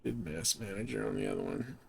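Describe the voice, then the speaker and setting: A man's voice: sits low, wildly swooping pitch, fairly quick, creaky, a little mellow. A man streaming a game solo, chatting casually and muttering as he thinks aloud. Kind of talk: casual solo gameplay chat. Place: indoors, live game stream. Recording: faint background noise.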